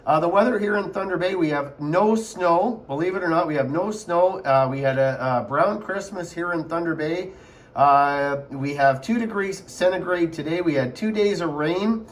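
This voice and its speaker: A man's voice: on the high side, moderate pace. A man chatting casually as an amateur radio operator, repeating himself and spelling call signs phonetically. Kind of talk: casual ham radio contact.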